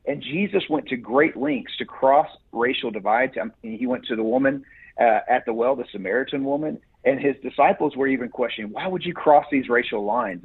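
A husky, somewhat breathy man calls in as a guest, heard over a narrow, muffled phone line. He answers in an earnest, preacherly way, often restarting his sentences. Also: medium pitch.

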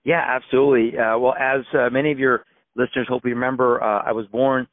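A man in his fifties, from Iran, giving his personal testimony as a guest in a steady, reflective way. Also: medium pitch, fast, no room sound. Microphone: phone. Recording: dry, tinny phone line.

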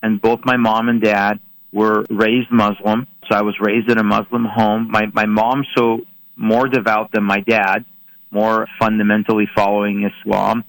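A man's voice: on the low side, little pitch movement, moderate pace, low-quality recording. A man in his forties from Iran, answering questions as a guest in a radio interview. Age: 40s.

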